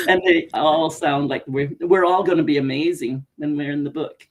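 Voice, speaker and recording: A woman's voice: low-pitched, steady tone, unhurried. A woman from Canada hosting a casual interview over a video call, chatty and laughing often. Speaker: podcast host.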